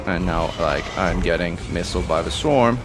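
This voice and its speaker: Brief: low-pitched man from Italy, Italian first language, eager running game commentary, clear background noise. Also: moderate pace. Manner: excitable, talks through each move while playing. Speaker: streamer and commentator. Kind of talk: live gameplay commentary.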